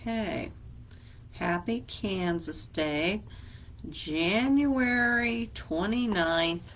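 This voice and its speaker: A woman's voice: medium pitch, extremely slow, lo-fi sound, a little hiss or hum. A woman librarian leading a children's craft lesson, speaking patiently and asking simple questions as a teacher would.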